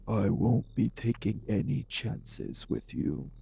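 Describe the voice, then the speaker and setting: A man playing a refined, bitter, possessive vampire sire in an audio drama, with formal, deliberate, menacing diction. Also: on the low side, expressive, vocal fry, dark tone, husky, full character voice. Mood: cold, controlled. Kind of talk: dramatic audio roleplay acting.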